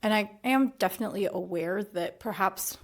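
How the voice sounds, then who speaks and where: A woman's voice: mid-range voice, moderate pace. A young adult woman from Dubai, a guest on a podcast, talking in a casual, reflective way and laughing often. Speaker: podcast guest, school principal.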